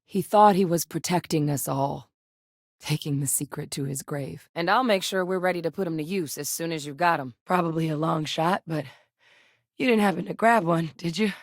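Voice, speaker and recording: A woman voicing a seasoned, earnest female soldier and xenobiologist in studio video game dialogue, measured and sincere. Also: low-pitched, no echo. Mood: steady. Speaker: game character voice actor. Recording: dry studio recording.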